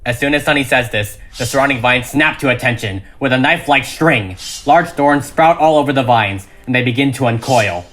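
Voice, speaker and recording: A man's voice: medium pitch, a little fast, few pauses, a bit bright. A man reading a script as dramatic narration, with emphatic, suspenseful delivery. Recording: music behind the voice.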